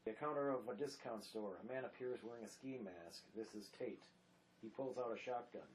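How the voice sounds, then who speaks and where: A man gives scripted narration, reading stage directions for a drama. His pitch is average for a man. The recording has clear noise in it. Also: a little fast, rough recording.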